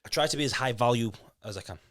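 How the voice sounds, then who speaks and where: A man's voice: mid-range voice, racing, fairly bright tone, dry recording, clean studio sound. A man in his thirties from Lithuania with a Yorkshire accent and Lithuanian as his first language, a podcast guest talking candidly in a casual chat, swearing and restarting his words often. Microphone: podcast mic.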